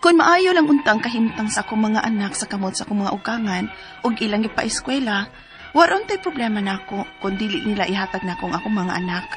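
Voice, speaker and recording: A woman's voice: medium pitch, animated, a little fast, lightly acted. A woman with a Cebuano accent acting an anguished battered mother who pines for her children in a radio drama. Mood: pleading. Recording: background music under the voice.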